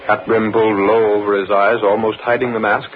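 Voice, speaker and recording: A man's voice: on the low side, level tone, a little fast, close to an everyday voice. A man narrating an old-time radio adventure serial in a scripted, dramatic style. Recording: dull old radio broadcast recording. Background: music.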